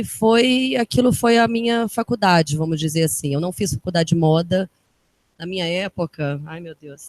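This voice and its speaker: A woman's voice: mid-range voice, darkish tone, no room sound. A woman from Minas Gerais with a Minas accent, a fashion designer chatting casually and enthusiastically to a live audience. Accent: Minas Gerais Brazilian Portuguese. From Minas Gerais, Brazil. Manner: chatty, enthusiastic, quick bursts of speech. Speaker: fashion designer and guest speaker. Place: event room with an audience.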